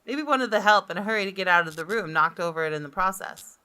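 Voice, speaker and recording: A woman gamer reading a visual novel aloud as expressive first-person story narration, with music behind her. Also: mid-range voice, fast talker, smooth. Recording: guitar game music behind the voice. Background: game music with guitar.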